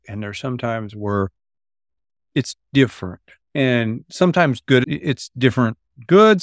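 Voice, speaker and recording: A man's voice: mid-range voice, no room sound, studio quality. A man hosting a casual interview, thinking aloud and rephrasing his questions as he goes. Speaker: interview host.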